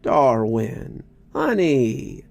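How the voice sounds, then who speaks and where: A man's voice: mid-range voice, sing-song, very slow, vocal fry, exaggerated voice. A man voicing a grieving, desperately hopeful wife who coos and pleads in a quivering voice, as in a storybook reading. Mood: tender, pleading. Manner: cooing, pleading. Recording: music behind the voice.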